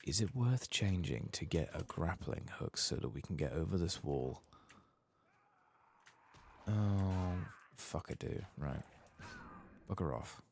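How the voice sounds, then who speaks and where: Male ASMR game streamer: husky, soft and slow, half-whispered musing with varied intonation. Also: sits low, lively intonation. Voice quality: soft-spoken, often dropping to a whisper. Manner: unhurried, murmured musing to himself. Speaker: gaming streamer, ASMR channel host.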